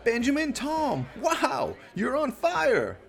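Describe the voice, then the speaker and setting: A man voicing a gushing, excitable male television news reporter in a radio play, full of exclaiming showmanship. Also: extremely high pitch, slow, gravelly, faint music behind, voice pushed up, exaggerated voice. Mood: gushing excitement.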